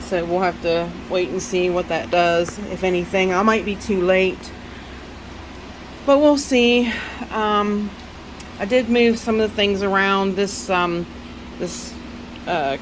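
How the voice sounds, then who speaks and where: A woman's voice: on the low side, noisy background. A woman with a Southern US accent and a stuffy head cold, rambling casually with many fillers as she walks through her plant shelves. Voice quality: nasal when bending over. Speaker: orchid grower giving a plant tour. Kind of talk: casual walk-through vlog narration.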